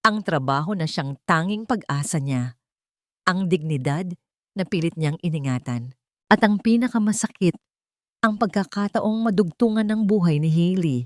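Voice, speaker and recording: A woman narrates a romantic drama in a low, very lively voice. Her phrasing is solemn and emotive, and the sound is dry and close. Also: no room sound. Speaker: storyteller, narrator. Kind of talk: dramatic scripted story narration.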